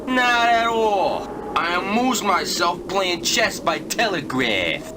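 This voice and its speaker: A drawling, smug station clerk. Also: male voice, sits high, big pitch swings, slow pace, noisy background, exaggerated voice. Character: bored small-town male station clerk.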